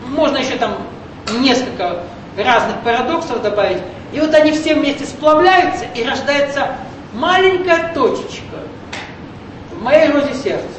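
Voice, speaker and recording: A man leads a spiritual seminar, explaining things patiently and often checking that the room is following. His voice is picked up from a distance in a very echoey room. A steady rumble runs underneath. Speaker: seminar leader. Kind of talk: esoteric spiritual lecture. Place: lecture room with an audience.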